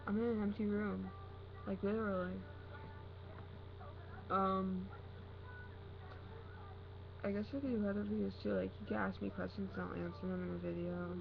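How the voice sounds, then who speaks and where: A young woman talking casually to her camera in an empty room, her voice hoarse and raspy, rambling with lots of fillers. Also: mid-range voice. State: hoarse from practicing screams. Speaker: vlogger. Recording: dull lo-fi recording with noise.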